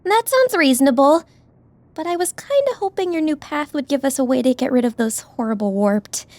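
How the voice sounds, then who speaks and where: A woman playing an anxious, soft-hearted young companion in an audiobook drama, expressive and hesitant. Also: high voice, fairly quick, no echo. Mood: hesitant, apologetic.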